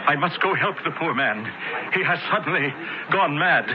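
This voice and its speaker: A man's voice: a little high, lively intonation, normal speed, close to an everyday voice. An actor playing a scheming, outwardly solicitous holy man in an old-time radio drama. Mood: feigned concern. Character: smooth scheming Egyptian temple priest, outwardly solicitous. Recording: very noisy muffled old radio recording.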